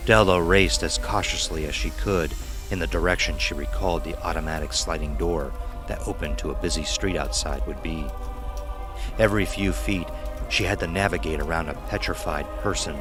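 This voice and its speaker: Male narrator, low pitch, dramatic, suspenseful, scripted horror storytelling, faint music bed.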